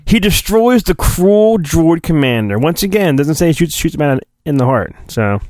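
A man's voice: a little high, sing-song, normal speed, a little mellow, no echo. A male podcast host giving a mock-dramatic storybook reading, with hammy emphasis and rambling joking asides. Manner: hammy, exaggerated emphasis, digressive.

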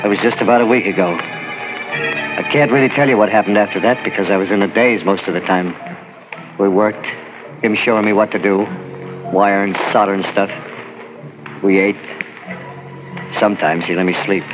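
A man's voice: on the low side, steady tone, normal speed, long pauses, natural voice. An actor with an American accent playing a frightened man on the run in 1940s radio horror, hesitant, pleading and colloquial. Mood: dazed, haunted recounting. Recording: muffled 1940s radio recording, loud organ music.

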